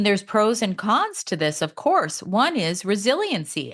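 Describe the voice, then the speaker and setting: Lady in her fifties doing a solo podcast, kinda low voice, earnest, chatty, voice jumps up when she stresses stuff. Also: fairly bright tone. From Canada. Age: 50s. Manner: conversational and earnest, pitch jumps up for emphasis. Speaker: podcast host.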